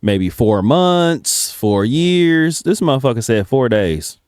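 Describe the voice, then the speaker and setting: A man's voice: medium pitch, sing-song, takes it slowly, a little mellow, smooth, no room sound, clean studio sound. An American man giving casual reaction commentary in colloquial, slangy speech.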